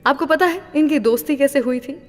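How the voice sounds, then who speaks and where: Radio host lady telling a story, kinda high pitched, long reverby sound with faint music under it. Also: fairly quick. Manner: chatty, teasing storyteller. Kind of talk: radio storytelling between songs.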